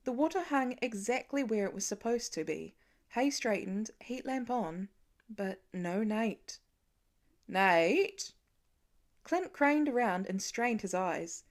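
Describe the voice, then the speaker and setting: Expressive woman narrating fiction. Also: on the high side, unhurried. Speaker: audiobook narrator. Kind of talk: scripted dramatic fiction narration.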